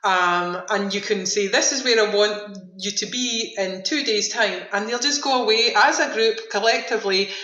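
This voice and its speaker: A woman's voice: mid-range voice, moderate pace, few pauses, sharp tone, roomy sound, lo-fi sound. A woman speaking as a guest in a radio interview, enthusiastic and emphatic, often repeating words for emphasis. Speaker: veterans' activity centre manager, interview guest.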